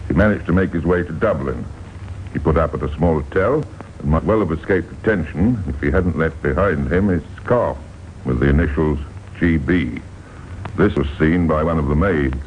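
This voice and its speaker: Very deep male vintage crime narrator. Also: a little hiss or hum. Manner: deliberate, dramatic storytelling. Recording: dull vintage radio recording with music. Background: music.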